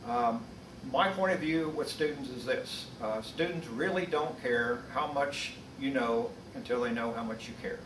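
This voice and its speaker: A man from the US Midwest speaking as a college instructor, giving a prepared introduction in a measured, earnest way. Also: mid-range voice. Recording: distant mic, roomy echo, noisy background.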